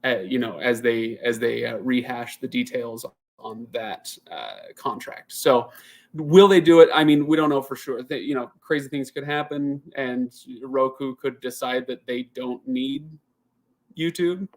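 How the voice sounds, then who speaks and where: Chatty man thinking out loud. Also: mid-range voice, measured pace. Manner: chatty, thinks out loud while reading viewer comments; rambling, restarts sentences often. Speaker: channel host. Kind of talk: casual live Q&A.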